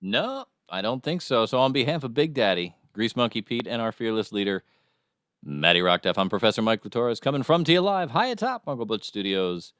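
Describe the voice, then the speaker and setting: A man's voice: mid-range voice, sing-song, brisk, fairly bright tone, smooth, no echo, studio quality. A man co-hosting a comedy podcast, signing off in a playful announcer style. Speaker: podcast co-host. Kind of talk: casual comedy podcast chat.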